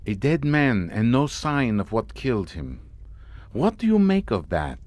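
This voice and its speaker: An actor playing a courtly, grandiose and mockingly amused Hungarian count in a suspense audio drama. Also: male voice, mid-range voice, big pitch swings, measured pace, darkish tone, no echo. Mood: wry storytelling. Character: sardonic, theatrical Hungarian count, host of a chateau.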